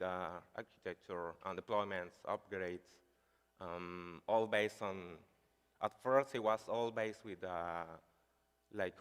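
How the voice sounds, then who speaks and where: Deep-voiced Chilean man giving a conference talk. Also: smooth. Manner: hesitant, many fillers like "like" and "uh". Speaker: conference speaker. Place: large conference hall. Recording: reverberant hall sound.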